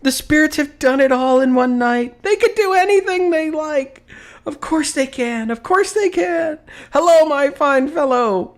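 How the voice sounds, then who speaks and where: Storybook old man, ecstatic and exuberant, high excitable voice, breathless wonder. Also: unhurried, full character voice. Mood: giddy, elated. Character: old man reborn, giddy, overjoyed, exclaiming in wonder. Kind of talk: dramatic storybook reading.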